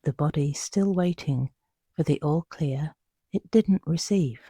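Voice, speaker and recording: Slow, hushed sleep meditation voice. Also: medium pitch, slightly rough, dry recording. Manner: hushed, soothing, unhurried with long pauses. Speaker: meditation guide. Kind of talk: guided sleep meditation narration.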